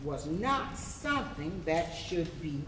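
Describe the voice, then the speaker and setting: A woman reading scripted theatrical narration on stage in a measured, emphatic way. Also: fairly low pitch, very animated, over a noisy crowd. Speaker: stage reader. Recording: echoey room, distant mic, audience responses.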